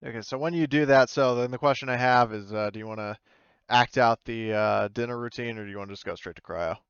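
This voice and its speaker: A man acting as game master of a tabletop roleplaying game, improvising casually with halting explanations. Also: mid-range voice, smooth, no echo, muffled recording.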